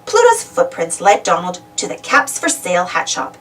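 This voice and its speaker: A woman reading a children's storybook aloud in an expressive, dramatic storytelling manner. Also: fairly low pitch, moderate pace, distant mic, slight background noise. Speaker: storybook reader. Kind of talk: animated children's read-aloud narration.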